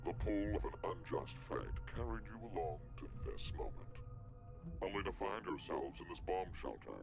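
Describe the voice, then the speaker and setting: An actor playing a coldly unhurried villain mastermind in a video game, speaking in a deliberate, theatrical, ominous way. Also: male voice, low-pitched, expressive, creaky, lo-fi sound. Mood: commanding. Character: menacing male mastermind addressing captives, coldly unhurried. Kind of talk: dramatic villain monologue. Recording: game audio under loud music.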